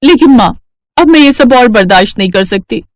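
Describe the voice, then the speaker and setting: Woman doing a high, whiny spoiled stepsister. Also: lively intonation, moderate pace, dry recording, natural voice. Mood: whiny, spiteful. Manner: whiny, haughty, petulant. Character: spoiled, jealous, cruel teenage stepsister. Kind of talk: Hindi cartoon story character acting.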